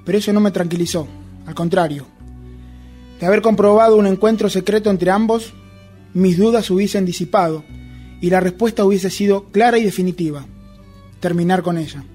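A man acting as an obsessive, jealous man with a notably high voice and a Rioplatense Argentine accent. He confesses deliberately and broodingly, in radio drama style, with soft music underneath. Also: husky. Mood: brooding, confessional. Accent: Rioplatense Argentine Spanish. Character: obsessive jealous man confessing his secret pursuit of a rival. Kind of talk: radio drama narration and dialogue.

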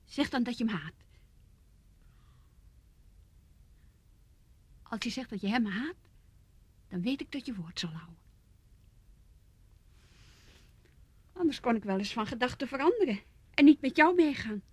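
An actress in a radio play playing a young, manipulative fiancée, pressing and coaxing. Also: female voice, a little high, lively intonation, brisk, darkish tone, slightly rough, dry recording. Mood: insistent, reproachful. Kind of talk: Dutch radio play acting.

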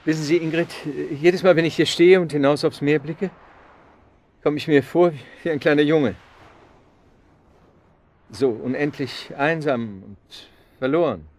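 An actor playing a lonely, wistful romantic in a German radio drama, speaking in a halting, florid way. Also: male voice, on the high side, a little mellow, close to an everyday voice. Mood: wistful, dreamy. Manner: wistful, halting, given to Latin and French phrases. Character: lonely, wistful man, romantic and talkative suitor.

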